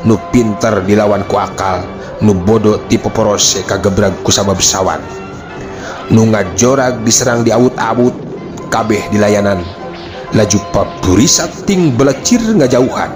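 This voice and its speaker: A man's voice: on the low side, moderate pace. A man narrating a folk tale in a theatrical, declamatory recitation. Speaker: storyteller. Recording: loud music bed under the voice. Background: music.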